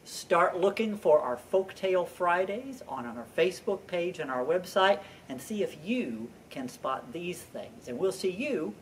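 An older American man in his sixties speaks to young children as an educational presenter. His delivery is lively, with big swings in pitch and deliberate emphasis. He is picked up by a distant microphone with a little room noise. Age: 60s. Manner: animated, deliberate teaching with repeated emphasized phrases. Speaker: children's library storyteller and presenter. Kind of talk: children's educational introduction, addressing young viewers. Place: indoors in a library.

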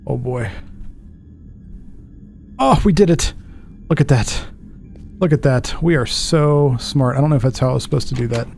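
A man's voice: mid-range voice, moderate pace, creaky, long pauses, darkish tone, soft background music. A man in his thirties giving casual let's play commentary, thinking aloud in a jittery, self-deprecating way. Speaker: gaming video host.

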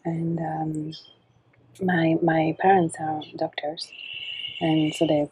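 A woman in her thirties from Belgium, a podcast guest talking reflectively on an outdoor porch, with many pauses and fillers. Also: low-pitched, little pitch movement, darkish tone, a little hiss or hum, low-quality recording. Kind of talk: casual interview talk. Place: covered porch outdoors in the tropics.

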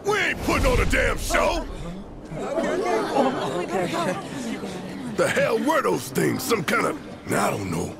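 A man playing a big, gruff, hot-tempered rebel leader in a video game, gravelly and commanding, with slangy speech. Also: high-pitched, wildly swooping pitch, normal speed, raised voice, over loud music, exaggerated voice. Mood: indignant. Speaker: resistance cell leader. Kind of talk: cinematic video game acting.

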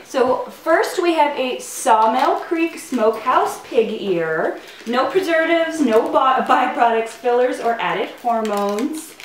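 High-pitched, lively young woman vlogger. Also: slow pace. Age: young adult. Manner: playful, cooing to pets. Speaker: vlog host. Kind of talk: casual pet unboxing vlog, talking to camera and to pets. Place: living room at home, indoors. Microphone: camera a few feet away. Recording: roomy, distant mic, faint noise.

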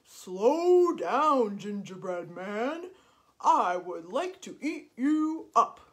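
Storybook cow, woman voicing: loud, lowing, hollow-toned. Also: big pitch swings, extremely slow, full character voice. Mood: hungry. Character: big hungry mooing cow.

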